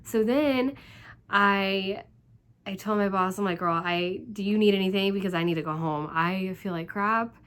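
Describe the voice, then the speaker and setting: A woman with a hoarse, congested voice from laryngitis and a cold talks casually to her viewers. Her pitch sits in the middle of the usual range for women. She rambles along with plenty of "like" and "um". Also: normal speed, a bit bright. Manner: rambling, chatty, full of "like" and "um". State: congested, stuffed up. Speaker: vlogger. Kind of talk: casual storytelling vlog.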